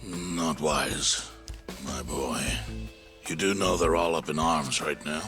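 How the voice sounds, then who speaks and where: An actor in an English game dub playing a fond, cautious older yakuza patriarch with an avuncular, knowing manner. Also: male voice, very deep voice, slow pace, music in the background. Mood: cautionary.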